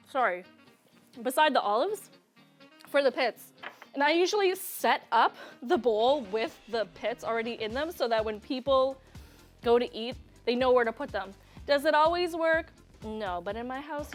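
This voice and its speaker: A young woman from Serbia hosting a cooking show, chatting casually, laughing often and drifting into family stories while she cooks on a studio kitchen set. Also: fairly high pitch.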